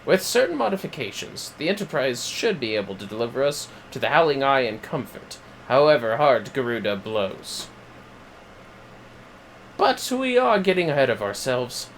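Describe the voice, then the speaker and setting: Man playing fantasy game strategist, male, earnest, formal, declamatory, raised animated pitch, noisy livestream. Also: moderate pace. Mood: earnest, explanatory. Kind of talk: acted reading of fantasy game dialogue.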